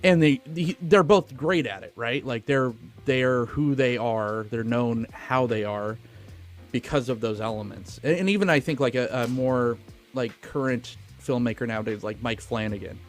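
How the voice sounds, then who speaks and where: A man's voice: mid-range voice, moderate pace, soft background music. A man co-hosting a film podcast in casual, opinionated, profane conversation.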